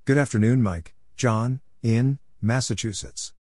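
A man's voice: mid-range voice, measured pace, a little mellow. A man reads out a practice drill in short, isolated phrases, spelling out letters and numbers one by one. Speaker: Morse code practice narrator. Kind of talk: spoken drill readout of radio exchanges.

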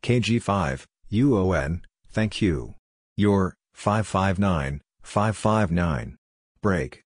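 A man reading out short scripted radio phrases as a practice narrator, in a measured, clipped, phrase-by-phrase way. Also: sits low, animated, no room sound, clean studio sound.

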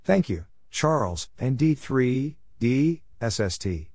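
A man reading out practice exchanges as a narrator, in a measured way, spelling out letters one at a time. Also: medium pitch, big pitch swings, darkish tone, a little hiss or hum. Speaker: Morse code practice narrator.

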